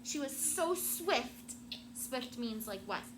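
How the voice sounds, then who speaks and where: A woman teacher reading a storybook aloud to children in a classroom, with expressive, deliberate phrasing and prompting questions. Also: high-pitched. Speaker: elementary school teacher. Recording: very noisy classroom recording.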